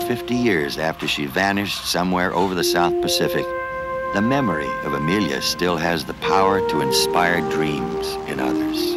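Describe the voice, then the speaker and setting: A man narrates a television documentary over a music bed. His voice is very low, with lively rises and falls, and he tells the story in a measured, earnest way.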